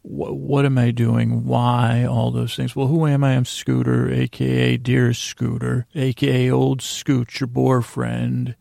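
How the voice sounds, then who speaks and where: A man hosting a sleep podcast in a soothing, rambling bedtime monologue, hesitant and meandering, with long pauses and stammered restarts and a gravelly voice. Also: mid-range voice, level tone, dark tone, dry recording. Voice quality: creaky, gravelly. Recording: faint music under a dry voice.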